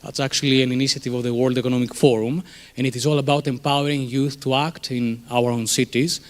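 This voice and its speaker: A man's voice: medium pitch, little pitch movement, fast talker. A man in his thirties from Greece with a Greek accent, giving a conversational conference presentation in a room, with many fillers and restarts. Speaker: conference speaker, startup co-founder.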